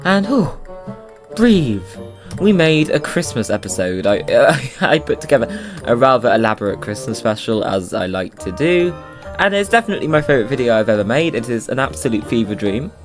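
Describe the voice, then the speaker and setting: A man with a slight cold chats through his Minecraft clips in a casual, playful way. His voice sits at a middling pitch and now and then bounces into silly sing-song bits. Soft music plays faintly underneath. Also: very animated, a little fast. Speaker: Minecraft YouTuber. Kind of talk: casual gameplay commentary.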